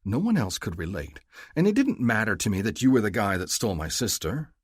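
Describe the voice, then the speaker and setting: A man puts on a young woman's voice, high above his own, swooping with emotion and a little husky. She is earnest and affectionate, her sentences rising and pressing forward. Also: sing-song, fairly quick, dry recording, clean studio sound.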